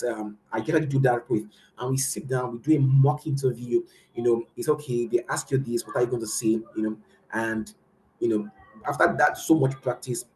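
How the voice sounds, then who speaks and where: A man's voice: mid-range voice, darkish tone, a little hiss or hum, poor audio. A man with a Nigerian accent giving casual career advice as a host, earnest and repetitive, with many fillers. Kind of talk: casual motivational talk.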